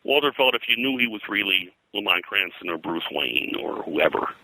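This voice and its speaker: A man with a very deep voice and a hard, very bright edge speaks as an expert guest. He rambles and halts, repeating words as he explains in an informal interview. The sound is dry. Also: lively intonation, moderate pace, creaky, dry recording, poor audio. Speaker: guest, pop culture historian. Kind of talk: informal interview talk about pulp fiction history.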